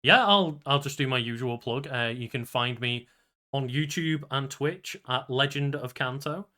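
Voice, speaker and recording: A man's voice: mid-range voice, unhurried, bright, forceful tone. A man co-hosting a podcast in a casual, conversational style. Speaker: podcast co-host.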